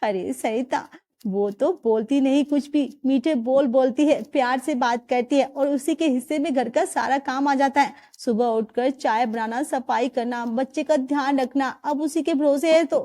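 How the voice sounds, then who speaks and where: A woman voicing a stern older mother-in-law who speaks in a heavy, sighing, low tone. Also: normal speed, warm tone, lightly acted. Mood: weary.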